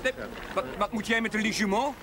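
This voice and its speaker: A man's voice: sits high, steady tone, brisk, crowd noise, lightly acted. An actor playing an earnest, polite clergyman in a television drama, careful in speech.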